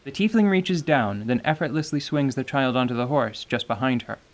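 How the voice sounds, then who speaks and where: A narrator describing action in a fantasy radio drama with even, descriptive delivery. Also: medium pitch, brisk.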